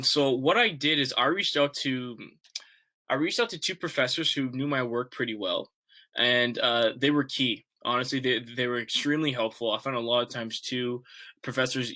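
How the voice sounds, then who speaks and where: A man's voice: medium pitch, sharp tone. A young man, a student ambassador, chatting loosely about referees on a video call, full of restarts and filler words. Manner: chatty, rambling, many likes and restarts. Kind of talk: casual advice on a video call.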